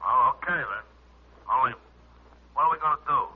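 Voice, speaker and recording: A man playing an excitable, blunt American muscle man through a telephone filter in an old radio drama. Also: medium pitch, normal speed, husky. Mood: puzzled. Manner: blunt, slangy. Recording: thin, noisy phone-like old radio recording.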